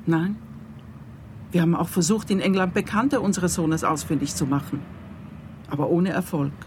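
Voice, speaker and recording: A woman's voice: fairly low pitch, moderate pace, a little mellow, natural voice. A composed middle-aged mother played by a woman in a radio play, speaking. She is reserved and polite, choosing her words with care over faint background noise. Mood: hesitant, hopeful.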